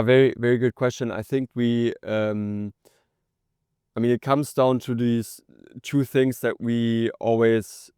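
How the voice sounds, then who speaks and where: Low, clear-voiced man in a dry recording. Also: darkish tone, dry recording. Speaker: startup co-founder, podcast guest. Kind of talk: conversational interview answer.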